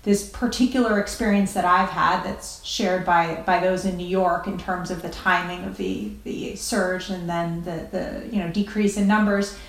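A woman with an American accent answering as a doctor in an interview, measured and reflective, searching for words. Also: on the low side, steady tone. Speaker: interview guest, critical care doctor. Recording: roomy, echoey remote sound.

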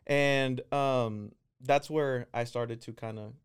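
Smooth-voiced man in his thirties. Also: mid-range voice, fairly even intonation. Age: 30s. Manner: halting, many restarts and fillers. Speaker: guest singer and DJ. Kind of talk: casual interview chat.

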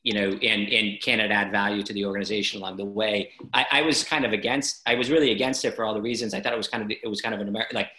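A man's voice: on the low side, steady tone, racing, hardly any pauses, sharp tone. A man hosting a casual interview, talking energetically, rambling and restarting his sentences. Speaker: podcast host. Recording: roomy echo.

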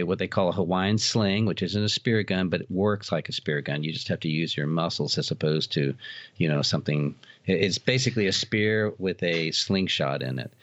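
A middle-aged American man telling stories casually as an interview guest, in a halting, discursive way. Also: low-pitched, no room sound, lo-fi sound. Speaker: podcast guest, author and haunted house operator.

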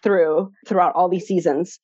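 Guest actress, Chicago accent, Polish native speaker, chatty and laughing; dry, dull recording. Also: female voice, on the low side, steady tone, normal speed, warm tone, husky, dry recording. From Chicago, USA. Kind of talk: casual interview chat.

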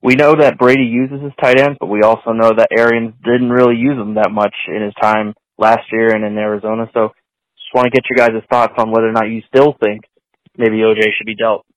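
A man's voice: lowish voice, little pitch movement, brisk, no room sound. A man leaving a casual voicemail question for podcast hosts, heard on a lo-fi phone message recording.

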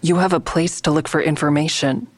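Wry female investigator, deep for a woman, persuasive, matter-of-fact, dry studio sound, audio drama. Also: fairly even intonation, brisk, dry recording. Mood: persistent, bargaining. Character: wry, persistent female amateur investigator bargaining with police. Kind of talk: naturalistic audio drama dialogue.

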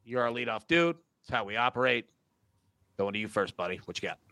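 A man's voice: mid-range voice, animated, fairly quick, creaky, bright, forceful tone, no echo. A man hosting a sports betting show, upbeat and slangy, calling his guests dude and man. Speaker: show host. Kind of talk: casual sports betting chat.

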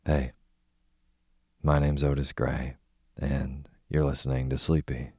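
A man hosting a bedtime podcast, talking slowly and soothingly while losing his voice. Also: a bass voice, level tone. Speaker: podcast host reading bedtime stories. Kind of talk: slow soothing bedtime talk.

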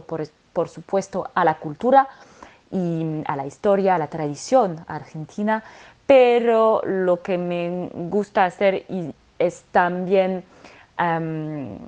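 A woman's voice: fairly low pitch, darkish tone. A French woman singer speaking Spanish with a French accent, answering interview questions with many hesitations and restarts. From France.

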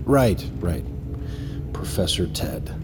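A man's voice: low-pitched, very animated, takes it slowly, over music, heavily acted. An actor playing an affable middle-aged father and corporate security chief in a naturalistic audio drama, easygoing and a little hesitant. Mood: awkward, hesitant.

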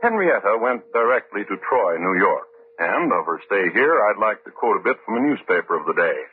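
A man narrating an old-time radio programme as host, with droll deadpan delivery and measured dramatic pauses. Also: on the low side, husky, phone-quality sound. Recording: thin, band-limited old radio recording.

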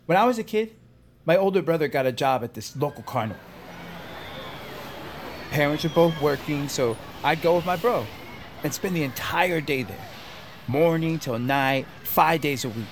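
A man's voice: a little high, expressive, normal speed, long pauses, slight background noise. An actor playing a casual, fearless coworker, chummy and earnest as he tells stories in an audio drama. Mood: nostalgic. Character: casual, fearless coworker confiding a childhood story. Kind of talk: audio drama dialogue, storytelling. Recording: music bed under voice.

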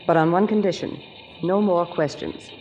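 A woman's voice: lowish voice, moderate pace, dark tone, lo-fi sound, lightly acted. An actress playing a guarded, unhappy young lady of a wealthy estate in 1940s radio drama, composed and evasive. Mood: wistful. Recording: faintly noisy 1940s radio recording.

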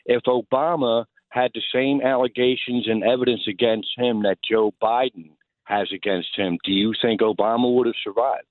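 A man calling a radio show who lays out his point in a measured way. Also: medium pitch, fairly even intonation, no room sound. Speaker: caller. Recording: tinny phone line.

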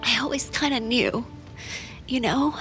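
A young woman playing a grieving, shaken rich man's daughter in an audio drama, with a halting, emotional delivery. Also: fairly high pitch, a bit bright, faint music behind. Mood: guilt-ridden. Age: young adult. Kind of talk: naturalistic audio drama acting.

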